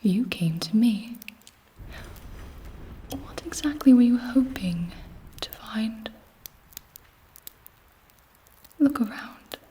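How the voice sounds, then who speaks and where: A woman voicing an imperious, scheming vampire queen in a breathy whisper, haughty and seductive, as an ASMR roleplay. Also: medium pitch, mellow, low-quality recording. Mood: persuasive, commanding. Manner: slow, haughty, seductive, measured pauses.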